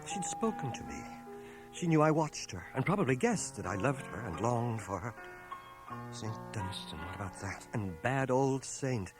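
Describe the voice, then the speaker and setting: Actor as lovestruck middle-aged confessor, lively delivery. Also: male voice, mid-range voice, moderate pace, over music, lightly acted, low-quality recording. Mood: lovelorn anguish. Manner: literate, confessional, earnest. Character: lovestruck, troubled fifty-year-old schoolmaster telling his confession. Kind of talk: radio drama narration and dialogue. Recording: 1980 radio drama on home cassette transfer.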